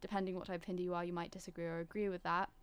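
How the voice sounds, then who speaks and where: A woman's voice: lowish voice, level tone, very fast, clear, resonant tone. A young woman from Singapore with a British accent, talking reflectively and articulately in a casual conversation, often qualifying what she says. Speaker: discussion participant.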